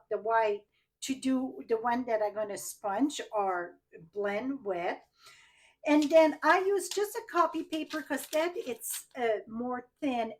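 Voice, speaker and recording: A woman's voice: high voice, rough recording. A woman with a French Canadian accent, whose first language is French, chatting her way through a live card-making tutorial and asking viewers questions as she works. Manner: chatty, thinks aloud, asks viewers directly.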